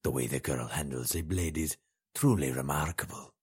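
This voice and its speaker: A man voicing a sly, menacing male potentate who speaks in a slow, gloating, hissing whisper. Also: a bass voice, slightly rough, pitched below the performer's own voice, exaggerated voice.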